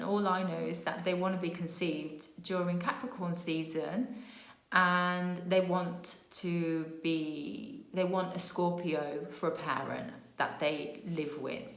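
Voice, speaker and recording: A slightly hoarse woman talking in a low-ish, relaxed voice, as if she's losing it. She meanders through her thoughts with fillers and half-started phrases, checking in with "yeah?". The sound carries a noticeable room echo. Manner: meandering, many fillers and restarts, "yeah?" tags. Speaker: spiritual channeler. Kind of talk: casual spiritual vlog talk.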